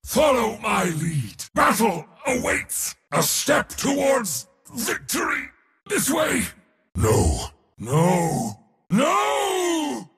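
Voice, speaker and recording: An actor voicing a proud, pompous god of war for a video game, with a theatrical, commanding military delivery. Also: male voice, very high-pitched, wildly swooping pitch, drawn-out words, gravelly, a bit bright, big-room sound, exaggerated voice. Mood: confident, then impatient. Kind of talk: video game hero voice lines.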